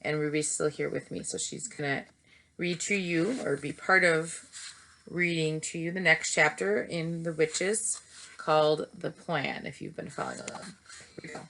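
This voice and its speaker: A woman teacher reading a chapter book aloud to young children, gentle and encouraging. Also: deep voice, normal speed, creaky, slight background noise. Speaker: third-grade teacher.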